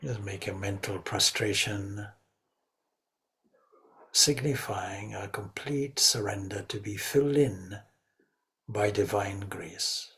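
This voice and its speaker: A man leading a guided meditation as a spiritual teacher, speaking reverently in short, measured phrases. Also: lowish voice, pushed and bright.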